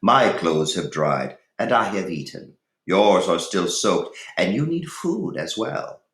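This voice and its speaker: A man voicing a bold, loyal hooded pilgrim from a storybook, deliberate and emphatic in his speech. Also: deep voice, sing-song, a bit bright, full character voice. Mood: kindly. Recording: roomy, echoey room.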